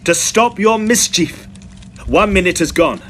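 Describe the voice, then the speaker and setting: An actor playing a stern, resolute young male Oxford student in a period audio drama, commanding, grim and deliberate. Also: sits high, animated, a bit bright, slight background noise. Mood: grim, threatening.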